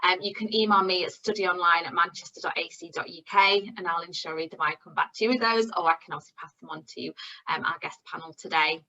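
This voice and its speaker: Bright-voiced woman hosting a webinar. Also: on the low side, fast, lo-fi sound. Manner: friendly, with frequent fillers. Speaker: webinar host. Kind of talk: hosting an online event. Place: online webinar.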